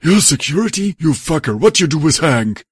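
A man's voice: a little high, very animated, moderate pace, husky, no echo, studio quality, voice pushed up. A man voicing a daft, comic two-headed cattle beast that mutters and blusters, in an audiobook. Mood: indignant.

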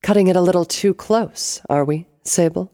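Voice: medium pitch, moderate pace, dark tone, dry recording, studio quality, pitched above the performer's own voice, lightly acted. A narrator voicing a sly female villain mastermind in a fantasy audiobook, teasing and coolly amused.

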